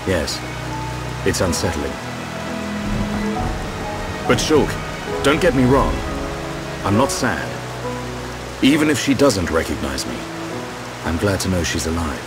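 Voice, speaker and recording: A man acting a calm, protective older-brother warrior in a video game, speaking in an earnest, measured, reflective way. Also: deep voice, full character voice. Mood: calm, apologetic. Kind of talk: dramatic video game dialogue. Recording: loud music, rain behind voice.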